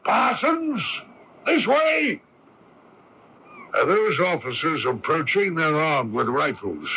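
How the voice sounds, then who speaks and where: A stern, courteous constable in a radio play, speaking slowly and formally. His gravelly voice is forceful and swings widely in pitch, on a slightly noisy old broadcast tape. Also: sing-song, lo-fi sound, full character voice. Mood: stern, accusing. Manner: deliberate, formally polite interrogation. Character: stern, dutiful, courteous male police constable. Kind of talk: radio drama acting.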